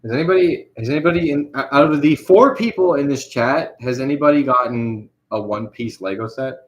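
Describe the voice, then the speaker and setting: A man's voice: medium pitch, fairly quick, muffled recording. A young man chatting casually on a livestream while he animates. He rambles, with lots of fillers and restarts.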